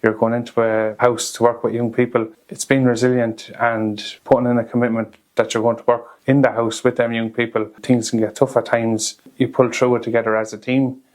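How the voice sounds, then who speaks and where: An Irish man speaks as a care worker in a reflective interview. His mid-pitched voice stays very flat and even. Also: fairly quick, few pauses.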